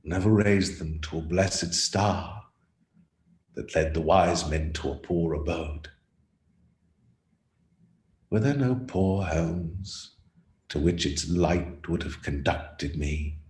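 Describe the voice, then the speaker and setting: A man acting the mournful, remorseful ghost of a dead businessman in a radio play, with solemn, swelling declamation. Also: low-pitched. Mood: anguished, remorseful. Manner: solemn, drawn-out declamation with big swells and hushed drops. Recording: roomy, echoing sound.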